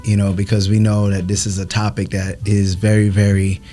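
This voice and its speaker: A man co-hosting a podcast in casual chat, speaking in slow, affirming, drawn-out phrases. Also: lowish voice, monotone, mellow, faint music behind. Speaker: podcast co-host.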